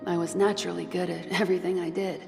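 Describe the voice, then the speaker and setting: A woman's voice: on the low side, little pitch movement, fast, creaky. A woman playing a wounded, proud and tender motherly fighter in an anime audio drama. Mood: solemn, resolute. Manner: solemn, emotional delivery. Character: wounded, tough, motherly squad commander's comrade, proud and tender. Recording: music under the voice.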